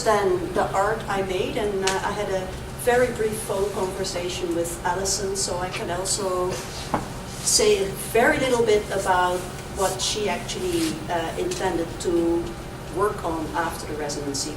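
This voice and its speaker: A woman with a Dutch accent, raised in the Caribbean, giving an artist talk with a hesitant, filler-heavy manner. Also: medium pitch. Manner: hesitant, lots of ums and uhs. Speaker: visiting artist. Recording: echoey room from far off with noise.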